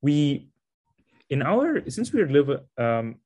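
A man hosting a relaxed interview, asking questions and sharing thoughts with loose restarts. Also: fairly high pitch, slow. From South Africa. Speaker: podcast host. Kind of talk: conversational interview.

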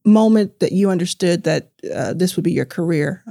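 A woman's voice: fairly low pitch, normal speed, gravelly, dark tone, no room sound. American woman interviewer, close dry sound. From southern United States. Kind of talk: casual conversational interview.

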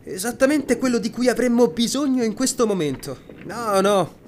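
A man's voice: extremely high pitch, expressive, moderate pace, slight background noise. A man playing a cocky, sarcastic sailor who mocks his crewmates in a fantasy audio drama. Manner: mocking, sarcastic.

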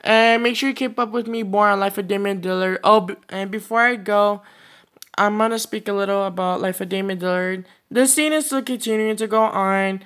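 A man's voice: sits high, a little fast, a bit bright. A sixteen-year-old boy hosting his own podcast, talking alone in a loose, rambling way full of "like, you know.". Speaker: podcast host. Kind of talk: casual solo podcast monologue.

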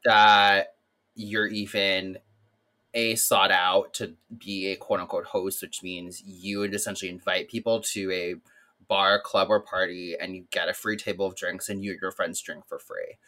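Expressive campy podcast man. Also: low-pitched. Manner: expressive, campy, quick to laugh. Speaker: podcast co-host. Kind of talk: casual chat.

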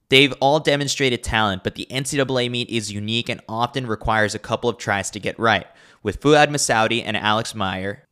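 A man's voice: lowish voice, pushed and bright, clear, resonant tone. A man hosting a podcast, reading a newsletter aloud in a scripted, wry and teasing delivery. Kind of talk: scripted read with dry humor.

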